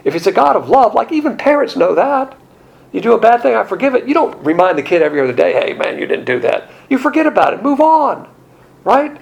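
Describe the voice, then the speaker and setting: A middle-aged man preaching a sermon, animated and conversational, with colloquial asides and acted-out quotes. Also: very high voice, fast, vocal fry, poor audio. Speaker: pastor.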